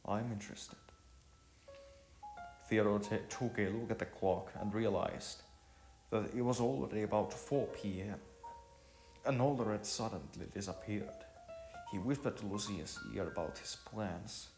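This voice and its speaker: Male narrator for an audio novel: unhurried, halting delivery, roomy sound over music. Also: lowish voice, darkish tone. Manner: halting, repeats phrases. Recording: echoey room with music underneath.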